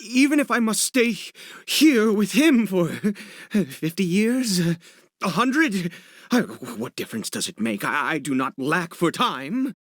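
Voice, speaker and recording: An actor playing a desperate, obsessive father in a video game, speaking in a halting, stammering, nervous mutter. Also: sits high, sing-song, fairly bright tone, dry recording, clean studio sound, heavily acted. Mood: shaken, resolving. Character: desperate, obsessive father brewing medicine for his son. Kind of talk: dramatic video game voice acting. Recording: dialogue over background music.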